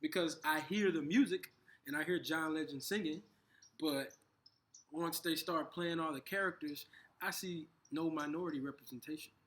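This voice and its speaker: A man co-hosting a casual conversation, talking in halting bursts with frequent restarts. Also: a little high, a bit bright. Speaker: co-host.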